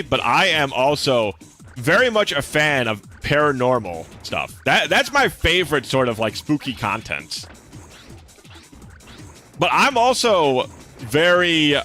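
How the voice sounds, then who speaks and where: A young man streaming a game, chatting casually with his viewers; he has a slight lisp and talks in a laid-back, swear-sprinkled way. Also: sharp tone. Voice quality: relatively deep voice. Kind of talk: casual live-stream chat while gaming.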